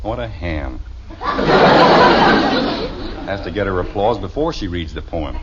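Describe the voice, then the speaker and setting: A man's voice: low-pitched, expressive, measured pace, over a noisy crowd. An actor playing a grumpy, sarcastic suburban father who interrupts in exasperation, in the style of an old radio family comedy. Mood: wry, grudging. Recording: noisy, muffled old radio recording.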